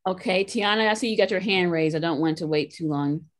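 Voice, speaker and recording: A woman's voice: lowish voice, brisk. A woman hosting a talk show call, moderating callers with direct, emphatic questions.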